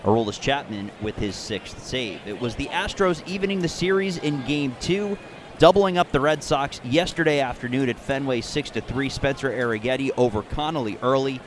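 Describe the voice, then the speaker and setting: Clear ambient noise sits behind a man doing live baseball radio play-by-play. His voice is medium in pitch, and he speaks in measured, neatly phrased broadcast style, moving between game commentary and sponsor reads. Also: animated.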